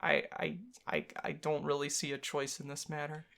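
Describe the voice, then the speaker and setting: Bright, lowish-voiced woman joking along. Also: animated, normal speed, creaky. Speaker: gaming channel co-host. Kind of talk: casual joking commentary and read-aloud narration.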